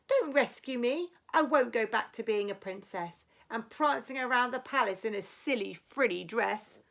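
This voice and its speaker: Storybook little princess, played by a female reader: kind, plucky, animated, measured pace. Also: high-pitched, full character voice.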